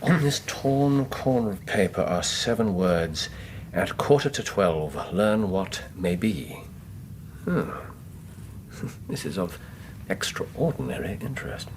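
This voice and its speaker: An actor playing a keen, courteous Victorian gentleman detective with an English accent, precise, theatrical and wry, in a radio drama. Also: low voice, animated, slow, slight background noise. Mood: intrigued.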